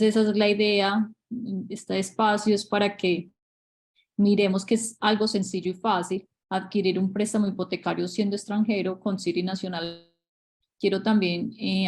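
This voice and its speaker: Flat, gravelly woman co-hosting. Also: medium pitch. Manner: searching for words, repeats herself. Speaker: real estate agent, co-host. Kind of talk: webinar hosting. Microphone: video call.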